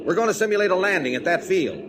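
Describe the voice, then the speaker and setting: A man's voice: high-pitched, expressive, fast, background noise. An actor playing a middle-aged male insurance adjuster and pilot in a 1940s radio drama, easygoing, persuasive and patient. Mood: instructive.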